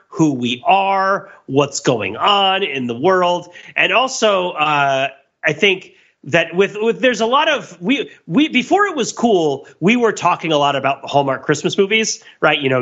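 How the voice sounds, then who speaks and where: Animated, bright-voiced man, fairly high. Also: muffled recording. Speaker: podcast co-host. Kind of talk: casual pop culture discussion.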